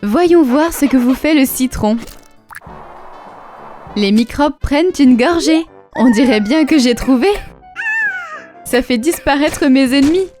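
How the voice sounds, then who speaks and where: A woman's voice: high voice, unhurried, long pauses. A woman voicing a caring mother lion in a children's cartoon, telling the story in scripted rhymes. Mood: curious. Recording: prominent music behind the voice.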